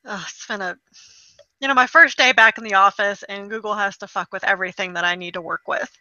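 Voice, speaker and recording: A woman's voice: medium pitch, fairly even intonation, a little fast, sharp tone, dry recording, highs cut off. A woman in her thirties co-hosting a podcast in casual, conversational chat. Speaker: podcast co-host.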